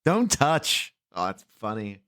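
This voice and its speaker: A man in his forties hosting a podcast, chatting casually and animatedly, quick to laugh. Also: lowish voice, sing-song, fairly bright tone, no room sound. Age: 40s. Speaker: podcast host.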